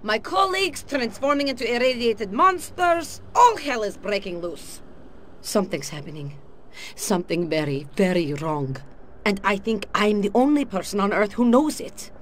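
A woman's voice: mid-range voice, sing-song, moderate pace, lightly acted. A sultry, cool-headed female KGB agent speaks with a Russian accent, voiced by an actress. She talks in a dry, self-assured way, with a lethal edge. Her video game cutscene plays over a faint ambient hum. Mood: earnest. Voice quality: cool, confident, dry delivery.

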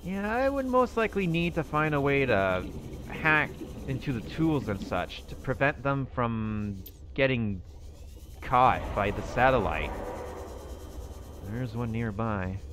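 A man's voice: mid-range voice, very animated, takes it slowly. A man thinking aloud in character while he plays a survival game, musing and hesitant as he goes. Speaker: roleplay gaming channel host.

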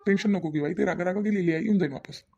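A lovesick young cartoon husband played by a man, with a high, mellow voice and a creaky edge. He speaks softly and dutifully in a Varhadi Marathi accent, repeating himself as he goes. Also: racing, muffled recording. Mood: reassuring. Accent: Varhadi Marathi from Vidarbha. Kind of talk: cartoon family drama dialogue.

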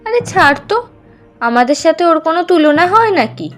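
Haughty high-voiced college snob. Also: female voice, very animated, fairly quick, soft background music. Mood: mocking. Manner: haughty, mocking. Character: snobbish, disdainful young well-off college girl. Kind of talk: Bengali animated story dialogue.

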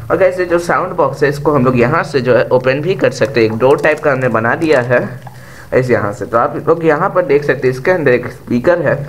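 A man's voice: medium pitch, a little hiss or hum. An Indian man giving a casual hands-on DIY demonstration to viewers, mixing English words into his speech, recorded on a camera's built-in mic. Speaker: DIY tutorial host. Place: indoor room, sitting on a bare floor. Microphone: camera's own built-in microphone.

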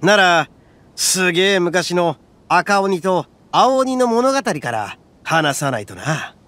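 An actor voicing a loud, brash young oni gang leader in a game, swaggering and rough-talking but big-hearted. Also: male voice, high voice, expressive, takes it slowly, full character voice. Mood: explaining. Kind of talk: game voice acting. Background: game music.

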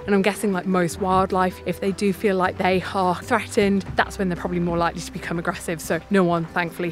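A woman in her thirties, talking to a phone camera held at arm's length outdoors on a mountain trail, telling the story of her hike in a chatty, upbeat way. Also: lowish voice. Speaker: travel vlogger. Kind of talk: hiking vlog narration. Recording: music in the background.

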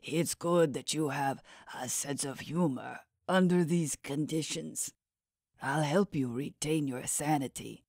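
A woman voicing an ancient, imposing old prisoner who is not human, speaking with measured authority in an audiobook. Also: deep voice, a little mellow, no room sound, studio quality, full character voice. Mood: calm, wry.

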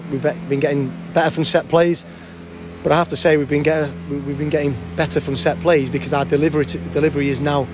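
Fast-talking football manager. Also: male voice, fairly high pitch, noisy background. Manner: fast, animated, frequent restarts and repeated words. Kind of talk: post-match interview. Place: outdoors by a cafe banner at a stadium. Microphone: handheld interviewer mic.

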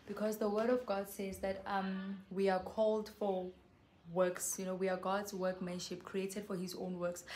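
A young woman from South Africa talks straight to the camera in a soft, mid-pitched voice. She is chatty and earnest and keeps calling her viewers "guys." She is recorded close on the camera mic on an outdoor patio, with a faint hum of ambience behind her. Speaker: YouTuber. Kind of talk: casual vlog chat to camera. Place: covered patio outside a house.

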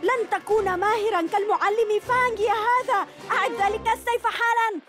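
A woman's voice: extremely high pitch, fairly quick. A woman voicing a headstrong six-year-old boy superhero in a cartoon, urgent and bold. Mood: determined. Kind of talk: animated series dub. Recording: music under dialogue.

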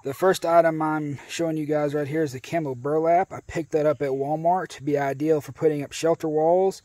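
Higher-voiced folksy American man. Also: steady tone, a little fast, dark tone, no room sound. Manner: folksy, conversational. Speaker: outdoor video host. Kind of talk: casual product review to camera. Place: outdoors in a grassy rural yard.

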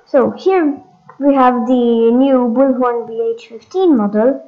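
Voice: mid-range voice, slow pace. A gaming host giving a casual walkthrough of game updates, hesitant and thinking aloud, on a dull lo-fi microphone.

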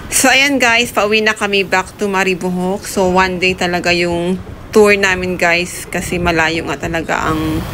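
A woman's voice: fairly low pitch, steady tone, normal speed, background noise. A woman narrating a casual travel vlog, chatty and addressing her viewers as guys. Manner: chatty, keeps saying "guys". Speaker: travel vlogger.

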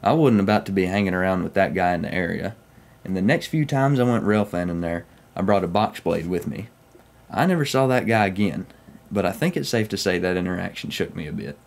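A man's voice: low-pitched, lively intonation, brisk, vocal fry. A young man from Oklahoma with a Southern US accent, casually telling first-person railfan stories in a folksy way. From Oklahoma, United States. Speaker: railfan vlogger. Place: small room at home, indoors.

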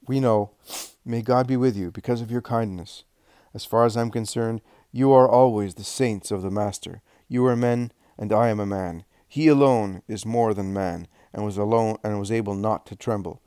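A man's voice: fairly low pitch, dark tone, clear, resonant tone, dry recording, clean studio sound, close to an everyday voice. A man reading aloud, voicing a consoling older man who speaks earnestly and reassuringly.

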